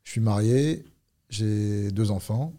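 A man in his fifties from Paris, a police unit leader talking through his career as a guest, measured and hesitant with fillers. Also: lowish voice, warm tone. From Paris, France. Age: 50s. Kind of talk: conversational interview.

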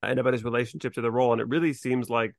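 A man's voice: mid-range voice, fairly even intonation, rapid-fire, no room sound, clean studio sound. A man co-hosting a podcast in a casual, conversational discussion. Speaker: podcast co-host.

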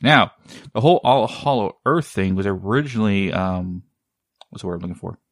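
A man's voice: on the low side, normal speed, no echo, studio quality. A man hosting a casual chat, rambling and often searching for the right word.

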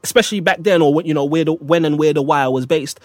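A man's voice: fairly high pitch, fast, dry recording. A man co-hosting a casual talk, reflective and repeating phrases as he thinks. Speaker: podcast co-host. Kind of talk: casual chat.

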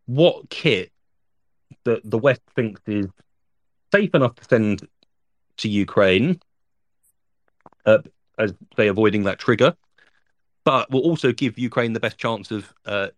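A man's voice: fairly low pitch, animated, unhurried, long pauses, no room sound. A man talking through the news as a correspondent, working out his points aloud with frequent ums and restarts. The sound is close and dry, with no room around it. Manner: thinking aloud, many fillers and restarts. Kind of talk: spoken news analysis.

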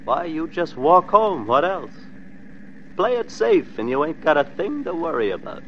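An actor playing a suspicious armed fugitive killer in an old-time radio crime drama, with an American accent and curt, slangy threats. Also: male voice, fairly high pitch, very animated, moderate pace. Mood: sneering. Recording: dull, noisy vintage radio recording.

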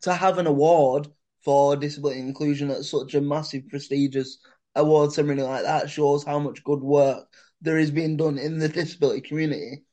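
A male podcast host with a somewhat high voice leads a casual conversation. He rambles and keeps padding his sentences with "sort of" and "like". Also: fairly even intonation, brisk, warm tone. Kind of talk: casual chat.

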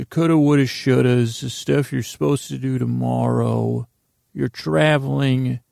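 A man's voice: mid-range voice, vocal fry, mellow, no echo, studio quality. A man hosting a sleep podcast, rambling through a meandering bedtime monologue and stumbling over words on purpose. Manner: rambling, self-interrupting, botches words on purpose.

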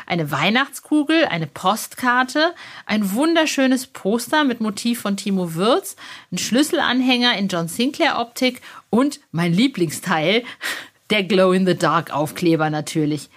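A woman hosting a podcast in a chatty, informal and enthusiastic way, speaking directly to listeners. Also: medium pitch. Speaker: podcast host.

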